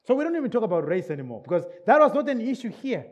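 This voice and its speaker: Animated preacher man, high lively voice. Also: rapid-fire. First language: not English. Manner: lively, checks in with listeners with repeated questions; repeats key words for emphasis. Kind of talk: sermon. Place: church service in England.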